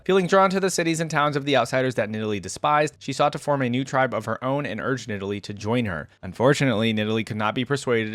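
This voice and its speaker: Fast-talking man, dry close sound. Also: mid-range voice, dry recording. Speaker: reaction video host. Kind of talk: reading wiki lore aloud with casual commentary.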